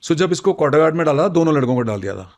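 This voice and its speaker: A man's voice: fairly high pitch, expressive, racing, a little mellow, soft background music. A middle-aged man with an Indian accent tells army stories conversationally as a retired officer, often switching between two languages mid-sentence. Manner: code-switches between Hindi and English. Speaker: retired army colonel, interview guest. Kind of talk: conversational storytelling, recounting army memories.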